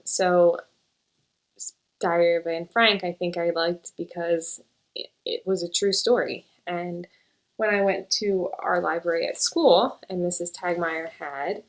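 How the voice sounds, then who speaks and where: A woman's voice: lowish voice, low-quality recording. A woman teacher hosting a casual book chat, who coaxes her young daughter with questions and then talks easily about books. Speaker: teacher and show host.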